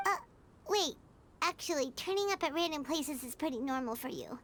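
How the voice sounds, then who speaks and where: A woman voicing a tiny floating fairy companion in an animated game, squeaky, childlike, chirpy and exclamatory. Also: normal speed. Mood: baffled. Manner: exclamatory, refers to herself by name.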